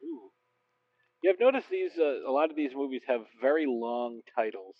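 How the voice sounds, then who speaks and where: A man's voice: mid-range voice, sing-song, moderate pace, dry recording. A man chatting casually as a podcast co-host. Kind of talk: casual chat. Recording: dull, lo-fi microphone sound.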